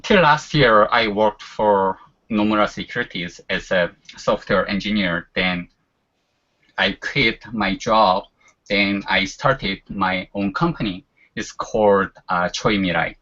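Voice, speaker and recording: A man's voice: fairly low pitch, slow pace, sharp tone. A man in his forties whose first language is Korean, chatting casually as a guest and leaning on fillers like "you know". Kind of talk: casual chat. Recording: dull lo-fi sound.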